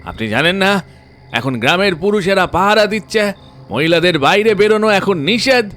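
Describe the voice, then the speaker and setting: A man's voice: high voice, lively intonation, normal speed, fairly bright tone, pitched above the performer's own voice. A man voicing a village man on night watch who urgently questions a stranger. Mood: urgent, questioning.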